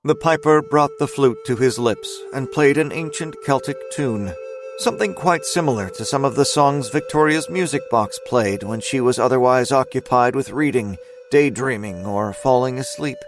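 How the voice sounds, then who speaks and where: A man narrating a scripted dramatic story as its storyteller, in a measured, suspenseful manner. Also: medium pitch, over music, lightly acted.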